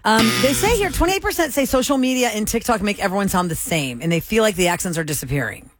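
A woman's voice: mid-range voice, animated, fast talker, faint music behind. Chatty Texan-born woman radio host. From Houston, Texas. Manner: reads out survey results, chatty and laughing. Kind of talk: casual on-air chat.

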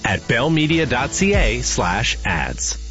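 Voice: medium pitch, big pitch swings, slow, a bit bright. A commercial announcer reading an advertisement voice-over. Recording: slightly dull audio under music.

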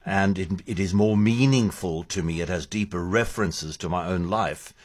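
A male interviewer asking long, halting questions and rephrasing them as he goes. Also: deep voice.

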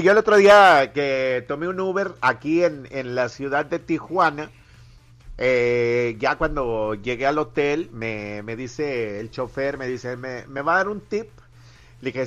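A man's voice: medium pitch, lively intonation, a little slow, a bit bright, muffled recording. A man hosting a morning radio show, reading listener messages aloud and teasing his co-host playfully. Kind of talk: joking morning radio banter.

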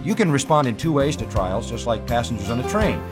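Man reading a calm devotional, loud guitar music underneath. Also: medium pitch, a little fast.

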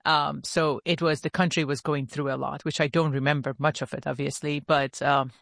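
A woman's voice: deep voice, flat delivery, normal speed, creaky, fairly bright tone, dry recording, clean studio sound. A woman from Iran, a university research leader answering interview questions and explaining things carefully. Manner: explains carefully, with short affirmative replies.

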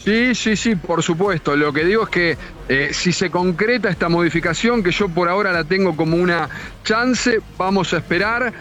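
A man with an Argentine accent giving a live on-site sports report in a steady, informative way. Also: high-pitched, moderate pace. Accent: Argentine Spanish. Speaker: field reporter.

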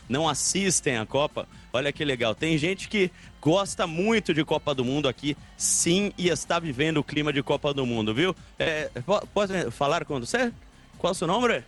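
A man's voice: fairly high pitch, fairly bright tone. A Brazilian man reporting live and informally on location, chatty, repetitive and rambling. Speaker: field reporter. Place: inside a station snack bar. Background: faint music.

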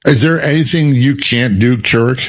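A male radio host with a husky voice, pitched in the middle of the range. He runs quickly through promotional lines and guest intros, then asks questions in a conversational way. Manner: promotional, reads intros quickly with some fillers. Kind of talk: radio interview.

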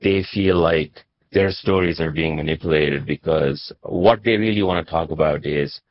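A man's voice: a bass voice, normal speed, no echo, muffled recording. A man from Nepal speaking as a journalist in an interview, explaining things reflectively and conversationally, often checking in with "right?" and "you know".

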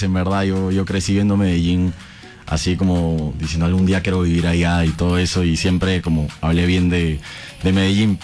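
A young man from Peru with a Peruvian Spanish accent, chatting earnestly as a guest on a talk show. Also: fairly low pitch. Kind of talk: casual chat.

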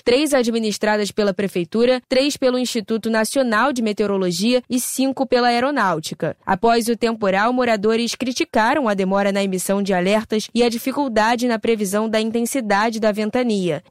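A woman's voice: on the high side, dry recording, studio quality. Woman reading a formal news report.

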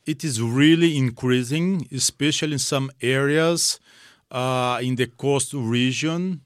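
Male missions-leader guest, unhurried delivery, simple emphatic phrasing, dry studio. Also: mid-range voice, no room sound. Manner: simple non-native phrasing, emphatic repetition. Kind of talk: personal testimony in a radio interview. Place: radio studio.

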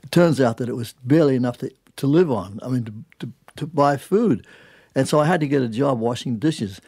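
An older man from New Zealand speaks as a guest on a music show, his middle-pitched voice husky and full of gravel. He tells stories informally, leaning hard on his points and often cutting across his own sentences. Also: animated, brisk, a little mellow. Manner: emphatic, colloquial, self-interrupting. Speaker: jazz pianist, studio guest. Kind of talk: casual conversational interview, telling anecdotes.

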